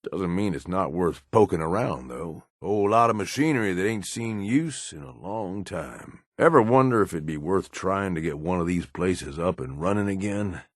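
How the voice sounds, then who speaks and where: An actor voicing a gruff, cynical middle-aged American raider lieutenant in a video game, blunt and profane with a folksy, slangy drawl. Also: male voice, sits low, expressive, normal speed, hardly any pauses, no room sound, clean studio sound. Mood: wary, matter-of-fact. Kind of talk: video game companion dialogue.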